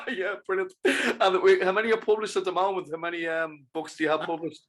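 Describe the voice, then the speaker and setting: A man hosting a podcast interview, upbeat and encouraging, with halting, restarting questions. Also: high voice, steady tone, fairly bright tone. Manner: upbeat, encouraging, quick to praise. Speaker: podcast host. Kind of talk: casual interview chat.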